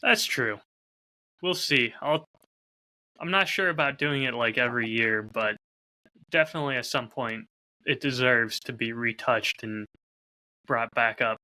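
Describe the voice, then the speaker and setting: A man's voice: mid-range voice, measured pace, long pauses, bright, forceful tone, poor audio. A man chatting casually and candidly as a podcast host, openly self-critical about his creative work. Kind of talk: casual chat.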